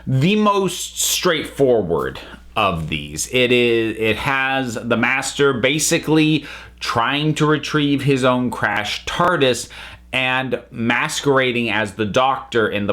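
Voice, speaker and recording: A man's voice: mid-range voice, fairly bright tone. Man giving a casual, rambling review. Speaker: reviewer, channel host. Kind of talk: casual spoken audio drama review.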